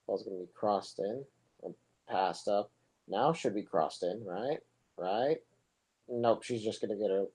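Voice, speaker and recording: A young man giving relaxed, rambling live play-by-play for a soccer match, his voice low and monotone, with chatty asides between plays. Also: poor audio. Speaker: soccer commentator. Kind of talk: casual live play-by-play commentary.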